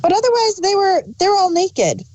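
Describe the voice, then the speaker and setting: A woman's voice: very high-pitched, sing-song, fairly quick, darkish tone, no echo. A woman co-hosting a casual chat in an informal, offhand way. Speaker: podcast co-host.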